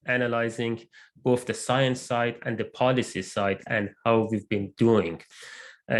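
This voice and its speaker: Man presenting on a video call. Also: mid-range voice. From immigrant to Canada. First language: not English. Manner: measured, with frequent fillers and restarts. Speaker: research lab lead presenting. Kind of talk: online presentation talk. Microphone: computer mic on video call.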